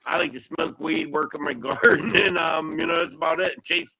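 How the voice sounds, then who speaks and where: Gravelly, bright-voiced man in his fifties. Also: fairly high pitch, steady tone, normal speed, poor audio. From Pennsylvania. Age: 50s. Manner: rambling, laughs often. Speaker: guest. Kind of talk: casual podcast chat.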